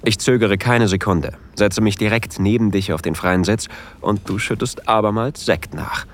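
An actor playing an introverted, easily flustered train traveler who tells of a romantic encounter in an intimate audio drama. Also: male voice, fairly low pitch, moderate pace, a little hiss or hum, natural voice. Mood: intimate, longing.

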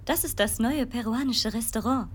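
An actress playing a playful, party-loving young woman, a tipsy best friend, with teasing, casual and unhurried delivery in an intimate audio drama. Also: on the high side, natural voice. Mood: soft, inviting.

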